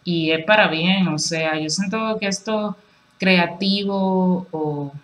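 Lower-voiced woman giving a chatty tarot reading. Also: slow, a bit bright, low-quality recording. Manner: chatty, reassuring, lots of filler phrases. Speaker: tarot reader. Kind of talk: casual tarot reading to camera. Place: indoors at a table with cards on a cloth.

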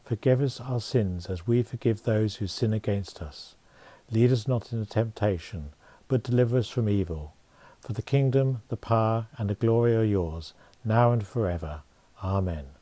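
A man reciting formal liturgical prayers with measured phrasing. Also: lowish voice, warm tone, no echo.

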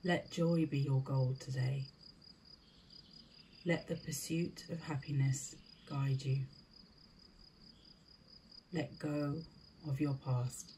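A woman leading a scripted guided meditation in a soothing, deliberate manner, with short spaced phrases. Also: rumbling low, steady tone, warm tone, slight background noise.

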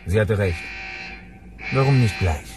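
A man's voice: lowish voice, expressive, a little slow, loud music behind the voice. A man playing a cynical, cold-blooded bounty hunter in a German radio drama, speaking in a dry, blunt, controlled way. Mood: tense.

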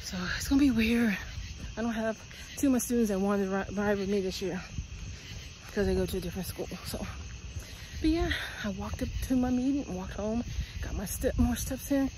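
Woman in her 40s walking at night, chatty and blunt, phone at arm's length, loud crickets and street hum around. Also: mid-range voice, brisk. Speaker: vlogger. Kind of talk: casual walking vlog. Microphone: phone at arm's length, earbuds.

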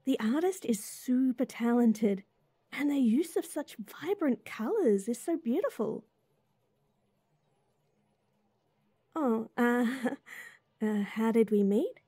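Casting: sweet, bubbly young girlfriend played by a woman for intimate audio roleplay; mellow, close dry sound, giggly and reassuring. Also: high voice, no room sound, full character voice. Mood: cheerful, chatty.

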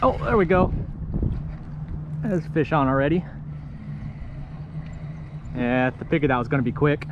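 A middle-aged man talks to himself while fishing from a kayak, exclaiming as he goes, in a voice that sits high for a man. He is recorded on an action camera mounted on the boat, with loud wind and water noise all around. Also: expressive, unhurried, long pauses, dull audio. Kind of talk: casual running commentary while fishing. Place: kayak on open water outdoors.

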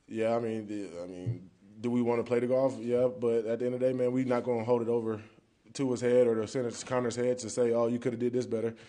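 A young man with a mid-pitched, mellow voice talks loosely at a podium mic in a press room. He answers post-game questions conversationally, sprinkling "man" and "you know what I mean" through his lines. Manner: casual, conversational, drops "man" often. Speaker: football player. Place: press conference room, indoors. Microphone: podium mic in front.

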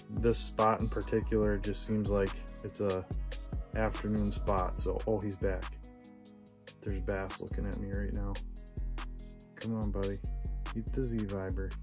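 A man vlogging his ice fishing trip in a shack on a frozen lake, excited and chatty, talking to the fish he sees on camera. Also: on the low side. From Wisconsin. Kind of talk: casual outdoor fishing commentary.

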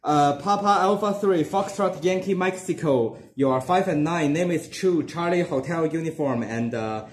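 A man's voice: a little high, moderate pace, few pauses. A young man working as an amateur radio operator, exchanging call signs in phonetic spelling. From Singapore. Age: young adult. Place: small dark room indoors. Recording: roomy echo, background noise, clicking.

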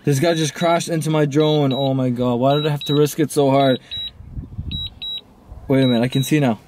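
A young man reacting loudly and with excitement while flying a drone from inside a parked car, filmed by a dashboard camera. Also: medium pitch, normal speed, background noise. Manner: exclamatory, hyped-up reactions. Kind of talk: excited drone vlog commentary.

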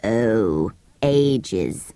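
A woman voicing an ancient, impatient sand fairy creature in a storybook reading, with a slow, drawling delivery. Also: extremely low pitch, animated, dark tone, dry recording, voice pushed down, heavily acted.

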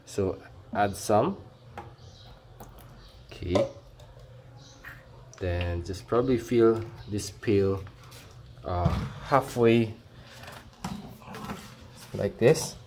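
Slow, halting Filipino man vlogging. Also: lowish voice, darkish tone. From Philippines. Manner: halting, explanatory. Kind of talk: casual how-to vlog demonstration. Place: outdoor paved yard or garage at home. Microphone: handheld phone camera. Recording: clear ambient noise on phone video.

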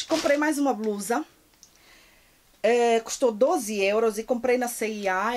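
Chatty woman, shopping haul. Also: fairly high pitch. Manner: chatty, gushing, many filler restarts. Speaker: fashion vlogger. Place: walk-in closet at home.

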